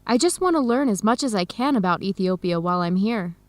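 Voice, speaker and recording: A woman's voice: mid-range voice, animated, fairly quick, dry recording, lightly acted. A woman acting an earnest young adoptee in a radio drama, speaking openly with an American accent.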